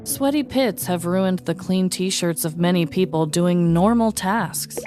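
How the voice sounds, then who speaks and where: A woman's voice: lowish voice, measured pace, a little mellow, faint music behind. Wry woman narrating comedic true crime. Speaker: podcast host and storyteller.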